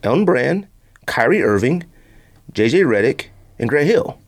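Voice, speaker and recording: A man's voice: medium pitch, big pitch swings, a little slow. A man co-hosting a sports and sneaker podcast, speaking in a casual, slangy, opinionated way and ready to argue his point. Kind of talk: casual banter and debate.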